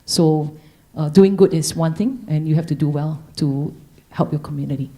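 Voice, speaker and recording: Deep, dark-voiced hesitant woman. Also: moderate pace. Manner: hesitant, many fillers and restarts. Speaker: panelist, businesswoman. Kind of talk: unscripted panel talk.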